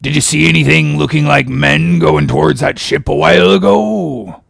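A man's voice: fairly high pitch, big pitch swings, normal speed, gravelly, fairly bright tone, dry recording, studio quality, voice pushed up, exaggerated voice. Man playing an eerie, cracked old sailor. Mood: cryptic. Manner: odd, muttering repetitions in old nautical dialect.

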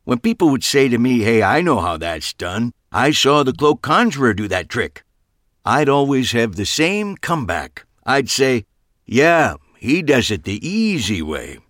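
A man voicing an older male magician who is gravelly, boastful and complaining. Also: medium pitch, sing-song, a little slow, a bit bright, no room sound, clean studio sound, voice pushed up.

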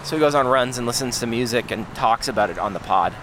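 A man's voice: mid-range voice, quick pace, background noise. A man co-hosting a podcast in casual banter, defensive and exasperated, swearing often. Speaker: podcast co-host.

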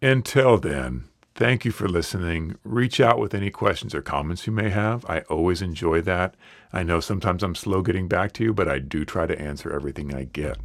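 A man hosting a solo podcast, talking in an earnest, reassuring and plainspoken way while hoarse from a cold and losing his voice. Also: sits low, fairly quick, no room sound. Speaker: podcast host.

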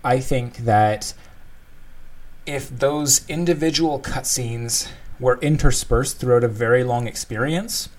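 A man's voice: mid-range voice, slow. A man co-hosting a casual discussion, explaining his thoughts in a thoughtful, conversational way. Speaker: podcast co-host discussing game design.

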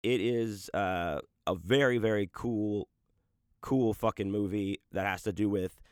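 A man's voice: fairly low pitch, a little slow, clean tone, no room sound, clean studio sound. A man hosting a podcast in loose, rambling casual chat, profane and full of restarts, talking about his audiobook narration work.

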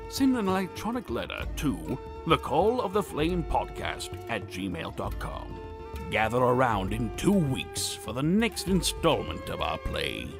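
A man's voice: medium pitch, vocal fry. A man narrating scripted credits for a fantasy audio play in a formal, theatrical announcer manner. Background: music.

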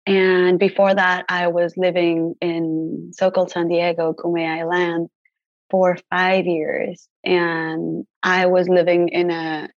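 A woman's voice: fairly low pitch, little pitch movement, slow pace, no room sound, muffled recording. A young woman from Mexico, a native Spanish speaker, chatting casually as an interview guest with many "like" fillers and easy laughs. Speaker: guest herbalist.

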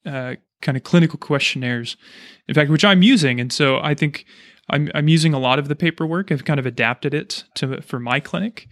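A man's voice: a little high, brisk, a bit bright, no echo, clean studio sound. A man hosting a casual interview, chatting and explaining things as he goes. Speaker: podcast host, chiropractor.

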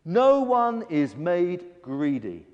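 A man voicing a reasonable, earnest gull in a children's story, gently scolding a friend. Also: high voice, wildly swooping pitch, extremely slow, big-room sound, highs cut off, exaggerated voice. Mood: earnest persuasion.

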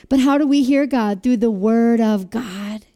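A woman preaches a sermon in a high, mellow voice. She has a loud voice and keeps asking her listeners to repeat lines back to her. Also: takes it slowly. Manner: asks the audience to repeat phrases.

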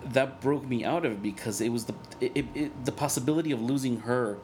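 Earnest, halting man in his thirties. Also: medium pitch, a little mellow, a little hiss or hum. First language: Spanish. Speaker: guest, cartoonist and organizer. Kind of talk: candid personal interview.

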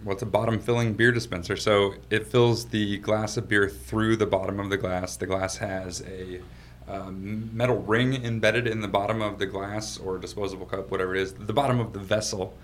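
A man's voice: on the low side, fairly even intonation, moderate pace, a little hiss or hum. A man from Washington state, a company founder being interviewed in his office, telling his story in a laid-back way and laughing easily. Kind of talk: casual storytelling.

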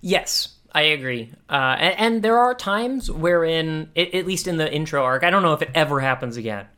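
A man chatting casually as a podcast co-host reviewing a cartoon, rephrasing his thoughts haltingly as he goes. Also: a little high, expressive, pushed and bright, clear, resonant tone.

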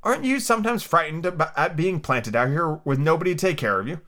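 A man's voice: on the high side, animated, fast, fairly bright tone, pitched above the performer's own voice. A man voicing a curious, polite young girl in a storybook, earnest and questioning. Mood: timid wonder.

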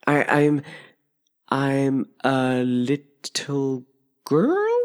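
A storybook reader voicing a curious, polite little girl who is earnest and quick to exclaim. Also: mid-range voice, big pitch swings, husky, long reverb tail. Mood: flustered, doubtful. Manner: earnest, exclaiming, hesitant when challenged. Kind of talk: acted storybook character voice.

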